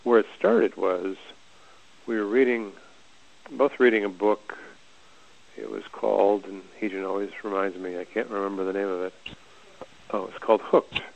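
Soft-spoken low-voiced man, halting. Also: fairly even intonation, vocal fry, dull audio. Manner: hesitant, halting with many restarts. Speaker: guest. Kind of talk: conversational call discussion. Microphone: remote call audio.

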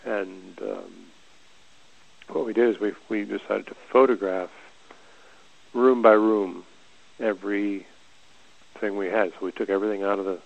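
A man with a low, soft-spoken voice, talking as a guest on a call, hesitant and halting with frequent restarts. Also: fairly even intonation, vocal fry, lo-fi sound. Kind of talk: conversational call discussion. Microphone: remote call audio.

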